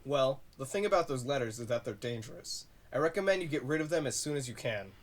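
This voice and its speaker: Guarded, secretive man with swooping pitch, acted. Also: expressive, fast, a crowd in the background, lightly acted. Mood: guarded, grave. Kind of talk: audio drama dialogue.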